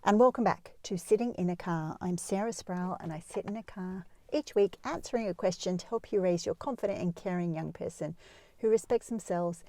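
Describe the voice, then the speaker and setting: Female advice host, relaxed mellow tone in a dry space, talking listeners through things reassuringly. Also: on the low side, no room sound. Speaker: host of a parenting advice show. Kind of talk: explanatory advice talk addressed to listeners.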